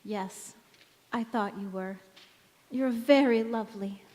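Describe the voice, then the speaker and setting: A woman acting a mysterious, wistful young stranger in a stage radio drama, speaking in a gentle, cryptic way. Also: fairly high pitch, takes it slowly. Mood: wistful, polite. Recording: echoey hall with long reverb.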